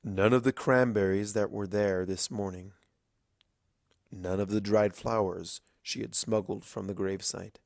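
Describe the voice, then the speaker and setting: A male narrator with a fairly low voice reads a dramatic story. He goes slowly and gravely, pausing for weight, in a dry recording with little room sound. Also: steady tone, a little mellow, no echo. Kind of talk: dramatic scripted fiction narration.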